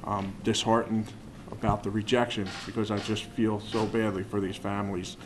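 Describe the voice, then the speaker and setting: A man's voice: on the low side, little pitch movement, moderate pace, music in the background. An American man, a prosecutor, making a statement to the press. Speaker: district attorney. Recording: faint ambient press conference noise.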